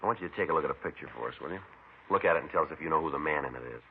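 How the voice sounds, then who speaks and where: A terse police detective lays out the facts in a very low, clipped, matter-of-fact voice and moves briskly through the case. It comes through thin and phone-like, as in an old radio crime broadcast. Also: male voice, slight background noise. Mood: calm questioning. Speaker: detective and narrator. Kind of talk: 1950s radio crime drama. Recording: tinny vintage radio broadcast.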